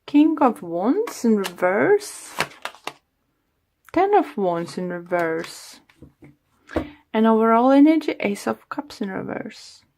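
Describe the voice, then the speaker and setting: Woman, non-native english speaker, tarot reader, slow pace, halting, searching for words, slightly worn voice. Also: mid-range voice, gravelly, darkish tone. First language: not English. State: slightly losing her voice. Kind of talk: tarot reading to camera.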